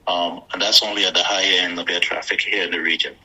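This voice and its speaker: Man, low voice, sharp forceful edge, halting interview answers, repeated words. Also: steady tone, breathy edge, rough recording. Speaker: airport authority chief executive being interviewed.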